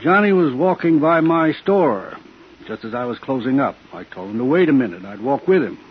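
A man's voice: medium pitch, expressive, moderate pace, natural voice. Man acting evasive, genial shopkeeper, muffled old radio. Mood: guarded, matter-of-fact. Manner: guarded, clipped short phrases. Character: evasive, outwardly genial shop owner, uneasy witness to murder. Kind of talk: 1950s radio thriller acting. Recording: dull, faintly noisy 1950s radio broadcast.

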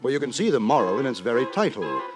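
A man's voice: medium pitch, big pitch swings, quick pace, music in the background, close to an everyday voice. Guy acting a pompous old-time writer telling his tale, florid and mock grave, swoopy mid voice, radio play. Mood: indignant. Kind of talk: 1950s theatrical radio narration.

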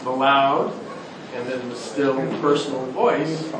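Relaxed man, class student, very echoey, distant, noisy. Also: mid-range voice, slow. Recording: distant mic in a very echoey room, noise.

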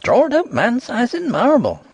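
A man putting on an elderly country servant woman, placid yet full of dread, speaking in rustic grammar. The voice swoops widely and has a breathy, husky grain. The audiobook sound is dry and close. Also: extremely high pitch, huge pitch swings, a little slow, no room sound, voice pushed up, heavily acted. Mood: ominous. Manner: rustic dialect grammar, solemn warnings. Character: old rural woman servant, placid, superstitious. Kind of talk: audiobook character voice.

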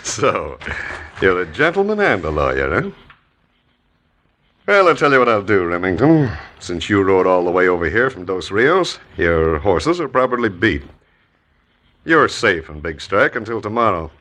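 An actor in a 1950s radio western playing a menacing, mocking saloon boss. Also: male voice, deep voice, big pitch swings, normal speed, a bit bright, full character voice. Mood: taunting. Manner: taunting, mocking. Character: menacing saloon boss, smooth, sneering villain. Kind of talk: 1950s radio drama acting.

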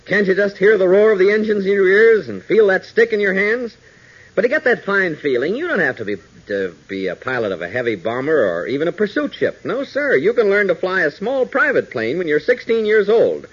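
A man's voice: high voice, big pitch swings, fairly quick, breathy edge. An American man announcing and narrating a 1940s radio adventure show with an enthusiastic, dramatic scripted delivery. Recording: muffled vintage 1940s radio recording.

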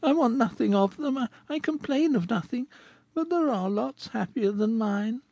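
Fleur de Marie, a man in falsetto: a plaintive young girl. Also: moderate pace, vocal fry, darkish tone, slightly rough, no room sound, exaggerated voice. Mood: bitter. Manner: plaintive, sighing. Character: poor sixteen-year-old girl, sorrowful and gentle. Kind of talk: audiobook character reading.

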